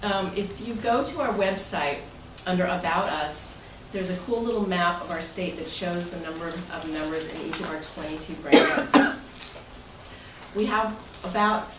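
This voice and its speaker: A woman's voice: on the low side, normal speed. A woman giving an informal, chatty club presentation as its president, speaking conversationally to members. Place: indoor meeting room with members present. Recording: roomy, distant mic, noisy meeting room.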